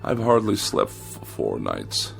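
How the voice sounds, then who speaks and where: Pompous, swooping, gravelly critic. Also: male voice, on the low side, wildly swooping pitch, slow pace, soft background music, full character voice. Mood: anxious, desperate. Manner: haughty, ornate, precise wording. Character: pompous, irritable male magazine critic, lonely and increasingly paranoid. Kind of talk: 1960s television drama acting.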